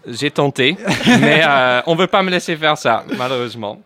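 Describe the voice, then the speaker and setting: A man's voice: mid-range voice, animated, moderate pace, bright, forceful tone, no echo. A man from the Netherlands speaking French with a Dutch accent, chatting playfully on a talk show with frequent fillers and laughs. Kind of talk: chatty radio column.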